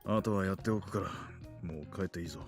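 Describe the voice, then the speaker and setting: A man's voice: low-pitched, rapid-fire, faint music behind, close to an everyday voice. An actor playing a weary, guarded male doctor in a Japanese visual novel, speaking in a terse, subdued manner. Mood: closed off.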